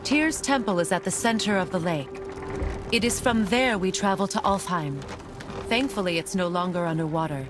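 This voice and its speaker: A woman playing a wise, solemn keeper of old magic in a video game, speaking in a measured, knowing, gently instructive way. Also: lowish voice, over music, natural voice. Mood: calm, knowing.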